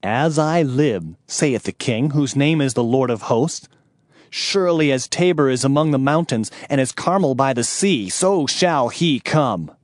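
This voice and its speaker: A man's voice: medium pitch, animated, unhurried, no echo, clean studio sound. A man giving a dramatic, solemn reading of scripture in archaic English, as narrator of an audio Bible. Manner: solemn, declamatory. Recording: music bed behind the voice.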